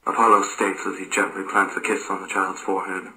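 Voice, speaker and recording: A man's voice: low-pitched, flat delivery, fast talker, bright, forceful tone, rough recording. A man reading a scripted story as narrator, occasionally stumbling over the words.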